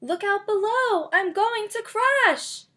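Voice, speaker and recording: A woman's voice: very high voice, expressive, slow pace, sharp tone, pitched above the performer's own voice, exaggerated voice. A woman voicing an eager, bossy little mouse from a storybook, exclaiming and urging. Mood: alarmed.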